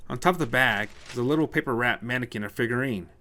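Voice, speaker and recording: A man's voice: medium pitch, fast, sharp tone. A man narrating a scripted short story as a storyteller.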